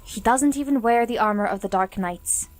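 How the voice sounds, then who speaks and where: A woman playing a kind young forest ranger in a fantasy audio drama, composed, gracious and gently authoritative. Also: a little high, moderate pace, lightly acted. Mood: scolding.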